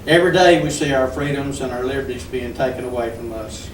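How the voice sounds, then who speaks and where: A pastor leads a prayer in an earnest, measured voice of middle pitch. He is recorded from across an echoey hall, with faint background noise. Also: far from the mic. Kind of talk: spoken prayer. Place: community center hall.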